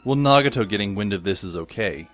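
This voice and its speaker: A man plays a resigned teenage schoolboy narrating an audio drama in a fairly low voice. He is dry and deadpan, with music playing clearly behind him. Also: quick pace, close to an everyday voice. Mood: wry, measured. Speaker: narrator and lead character. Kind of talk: first-person scripted audio drama narration. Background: instrumental music.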